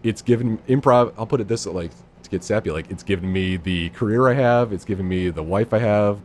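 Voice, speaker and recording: Low-voiced man in his thirties, easygoing podcast guest, self-deprecating; slight background hiss. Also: a little fast, darkish tone. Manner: laid-back, self-deprecating, rambling. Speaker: improv guest. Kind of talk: casual podcast chat.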